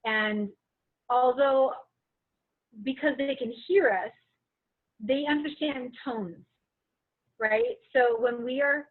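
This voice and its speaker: An earnest woman speaks in a fairly high voice, laying out her point with measured stress. Her audio comes through a tinny, phone-like call line. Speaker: book club participant. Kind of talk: casual group discussion. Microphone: video call.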